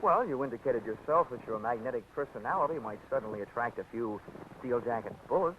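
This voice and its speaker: A man's voice: mid-range voice, lively intonation, quick pace, close to an everyday voice. An actor playing a hard-boiled male insurance investigator who talks in dry, easygoing wisecracks. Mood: cocky. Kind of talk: 1940s radio acting. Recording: tinny 1949 radio broadcast with faint hiss.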